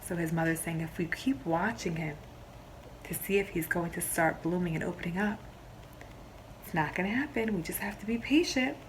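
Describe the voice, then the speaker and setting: A woman teacher reading a picture book aloud to young children, expressively, pausing to explain simply and ask questions. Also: on the low side, a little hiss or hum, poor audio. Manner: expressive storybook delivery, explains simply, pauses to question listeners.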